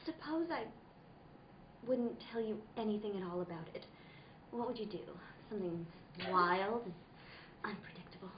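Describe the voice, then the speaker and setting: A woman's voice: mid-range voice, lively intonation, moderate pace, long pauses, close to an everyday voice. Woman acting melodramatic femme fatale in a roomy recording. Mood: coy. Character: seductive, melodramatic, lying femme fatale dame. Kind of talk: film noir radio play acting. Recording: dull roomy recording with background noise.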